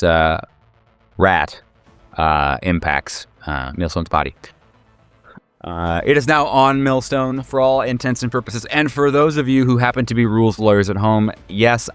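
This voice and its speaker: A man narrating a tabletop game as game master in a casual, halting, wryly playful way, often searching for words. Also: fairly low pitch, clear, resonant tone, no echo. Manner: wry, playful, slangy.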